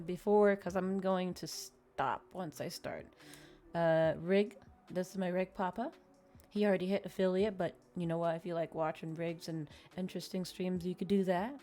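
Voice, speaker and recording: A woman's voice: lowish voice, a little mellow. A woman live streamer chatting casually and informally to her viewers in a friendly way. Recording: music playing behind the voice. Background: background music.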